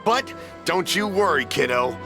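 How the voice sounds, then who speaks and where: An actor playing a friendly, generous middle-aged stallkeeper in a video game, with a hearty, folksy manner. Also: male voice, fairly high pitch, animated, a little slow, vocal fry, over music. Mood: kindly. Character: friendly, generous older festival stallkeeper, man.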